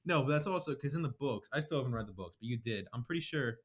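A man's voice: mid-range voice, lively intonation, rapid-fire. A man co-hosting a podcast, reviewing a TV episode in informal, slangy, bantering talk. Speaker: podcast co-host. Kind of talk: casual chat reviewing a TV episode.

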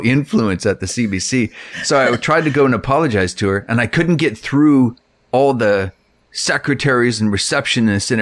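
Middle-aged male co-host, lowish pitch, close dry sound, conversational storytelling. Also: no room sound. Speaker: podcast co-host. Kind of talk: casual chat, personal anecdotes.

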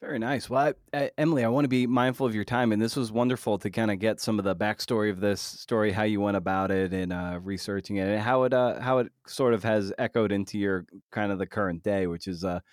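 A man hosting an interview podcast, talking casually and courteously, often pausing to rephrase his thoughts. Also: on the low side, steady tone, dry recording, clean studio sound.

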